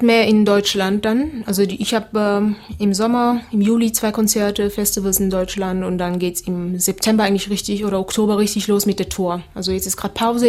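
Woman, flat even speech. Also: mid-range voice. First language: Creole. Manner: relaxed, hesitant with many fillers. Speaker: singer guest. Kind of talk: casual interview answers.

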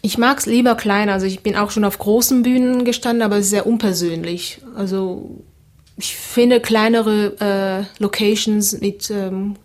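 Woman, flat even speech. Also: mid-range voice, moderate pace. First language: Creole. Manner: relaxed, hesitant with many fillers. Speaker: singer guest. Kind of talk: casual interview answers.

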